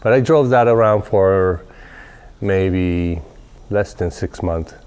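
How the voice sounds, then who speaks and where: A man in his forties from Southern California, talking casually in an interview in a large workshop, relaxed and rambling with many fillers. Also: low-pitched, takes it slowly, clean tone. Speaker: company president. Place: large workshop garage, indoors.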